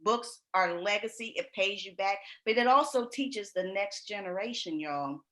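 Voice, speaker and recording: Loud, bright-voiced woman coaching writers. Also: mid-range voice, normal speed. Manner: loud, animated, folksy, laughs often. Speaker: publisher and writing coach host. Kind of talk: lively live-stream talk.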